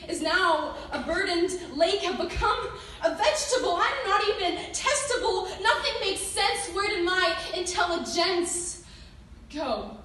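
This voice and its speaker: A woman reciting rhyming slam poetry with dramatic pauses and an emotionally intense delivery. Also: extremely high pitch, a bit bright, recorded from a distance. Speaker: slam poet. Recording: echoey room from afar, noisy.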